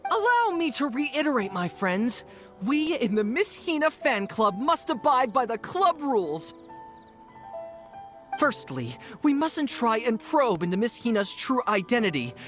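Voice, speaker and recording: Devoted fan leader giving a rousing speech, game style, swoopy highish voice, some music under. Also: female voice, sing-song, a little slow. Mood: solemn, rallying. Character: devoted, zealous female fan club leader. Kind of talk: video game voice acting.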